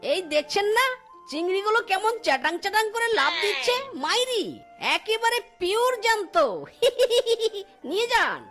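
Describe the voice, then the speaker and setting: Very squeaky, cunning cartoon fox. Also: expressive, normal speed, pushed and bright, exaggerated voice. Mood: gleeful. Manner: gleeful, taunting, cackling. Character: cunning, cheeky male fox trickster. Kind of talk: exaggerated cartoon dialogue. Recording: music behind the voice.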